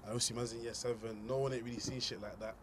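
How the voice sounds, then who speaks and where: Fast, animated guy in his twenties over a noisy hum. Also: mid-range voice. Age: 20s. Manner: quick, animated slang storytelling. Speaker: guest. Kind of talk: storytelling in casual chat.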